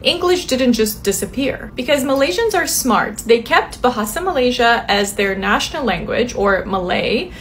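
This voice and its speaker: A woman's voice: on the high side, lively intonation, normal speed, few pauses, a bit bright, a little hiss or hum. A woman talking chattily to camera in a casual travel vlog, explaining things.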